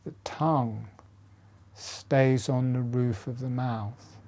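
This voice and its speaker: A man leading a guided breathing meditation as an instructor, in short, measured phrases with long pauses. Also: mid-range voice, fairly even intonation, warm tone.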